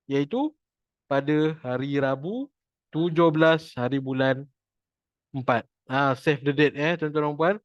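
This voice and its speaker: Malaysian male Quran teacher, chatty and jokey, slightly high voice, dry lo-fi recording. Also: a little slow, pauses often, dry recording. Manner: chatty, jokey, repeats points for emphasis. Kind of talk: informal online class teaching.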